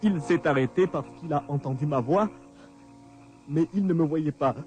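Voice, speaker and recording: Man acting a high-pitched frightened, desperate fugitive. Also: normal speed, darkish tone, natural voice. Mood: bewildered despair. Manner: pleading, stammering, frantic repetition. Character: frightened, desperate hunted man stranded on a night road. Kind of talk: French radio drama acting.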